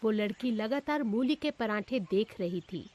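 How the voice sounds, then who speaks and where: A woman's voice: mid-range voice, animated, measured pace, heavy background noise. A woman narrator reading a scripted moral story.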